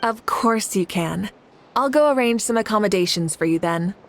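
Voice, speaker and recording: Game role, female actor: commanding yet good-humored leader, measured delivery, medium pitch, slight background noise. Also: lively intonation, close to an everyday voice. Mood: kindly. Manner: composed, measured, gently teasing. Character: assured, good-humored adult female ruler, fiery leader. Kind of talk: video game dialogue. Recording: game ambience behind voice.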